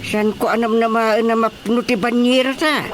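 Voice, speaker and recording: A man's voice: very high-pitched, flat delivery, normal speed. Radio drama role: older fisherman dad, good-humored played by a male actor, slight background noise. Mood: cheerful. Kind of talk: Ilocano radio drama acting.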